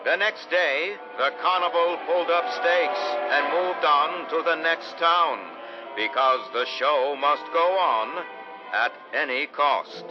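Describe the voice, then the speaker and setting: An actor playing a grandly mysterious, melodramatic announcer and narrator of an old-time radio serial. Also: male voice, fairly high pitch, slow pace. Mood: suspenseful. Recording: dull vintage radio recording over loud music.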